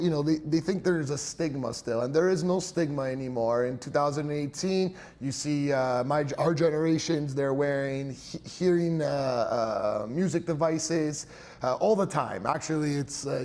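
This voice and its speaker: A man's voice: fairly high pitch, normal speed, darkish tone. A young man who works as a hearing care professional, explaining his clinic in a chatty way with many fillers and little laughs. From Italian heritage. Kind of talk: interview answers, explanatory.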